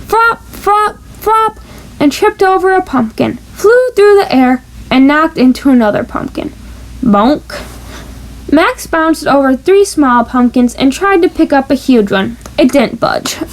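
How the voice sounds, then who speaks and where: High-voiced woman reading a children's storybook. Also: lively intonation, measured pace. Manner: playful, stressing sound-effect words. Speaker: storybook reader. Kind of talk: animated children's storybook read-aloud.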